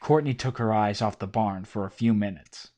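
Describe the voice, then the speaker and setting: A man narrates a horror story in a steady, documentary-like way. His voice sits on the low side. He reads every line as scripted storytelling. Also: moderate pace. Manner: steady documentary-style telling.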